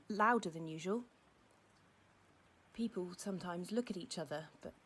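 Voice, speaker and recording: An actor playing a grieving woman who gently describes what she watches, in simple, hesitant phrases, as an audio drama monologue. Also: on the low side, a little hiss or hum, lightly acted. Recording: music behind the voice.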